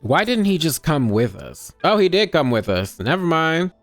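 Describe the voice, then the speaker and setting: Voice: mid-range voice, very animated, normal speed, faint music behind. A game player giving casual, informal and wry playthrough commentary with some swearing.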